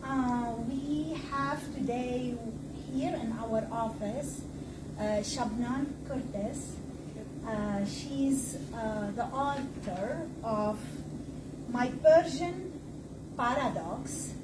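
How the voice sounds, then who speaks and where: A woman with a high voice interviews her guest, speaking slowly and haltingly through simple questions. She is recorded from a distance in an echoey office. A loud layer of noise runs underneath her voice. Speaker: interviewer host.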